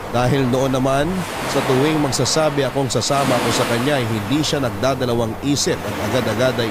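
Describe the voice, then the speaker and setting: A man's voice: medium pitch, heavy background noise. A man narrating a sentimental story in a solemn, dramatic scripted style, as a host reading a letter. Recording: loud music bed behind voice.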